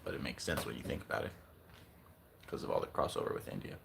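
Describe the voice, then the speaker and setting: Very deep, gravelly American man. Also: quick pace. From California, USA. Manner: friendly, curious, asks lots of questions. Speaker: streamer. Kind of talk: casual chat with a viewer. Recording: steady background noise.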